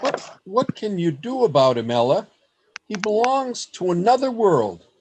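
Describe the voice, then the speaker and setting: An actor in a radio play playing an earnest, desperate man in love, with a husky voice and a dramatic, pleading delivery. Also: sits high, very animated, unhurried, heavy background noise. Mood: concerned, gentle. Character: anguished lovesick man, earnest, desperate, hiding among others.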